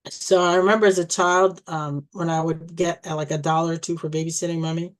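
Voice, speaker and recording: A woman's voice: deep voice, flat delivery. Woman in her sixties reminiscing. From Egypt. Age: 60s. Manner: reminiscing, halting with restarts, soft laughs. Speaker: family storyteller. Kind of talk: personal life story, casual recollection.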